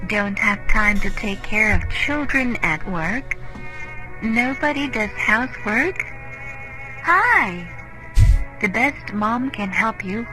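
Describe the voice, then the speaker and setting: A woman's voice: a little high, animated, slow pace, poor audio. A woman voicing a cheery female announcer selling robot mothers in a sweet, sing-song commercial voice-over. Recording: music underneath.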